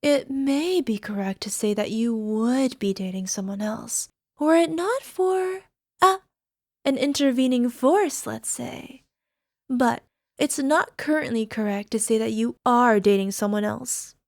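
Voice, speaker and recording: A woman playing a young, sweetly possessive yandere alchemist girlfriend in an ASMR roleplay, coaxing softly and sometimes slipping toward a whisper. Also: fairly high pitch, a little slow, dry recording, studio quality. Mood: smug, possessive. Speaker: voice actor. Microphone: close microphone, dry.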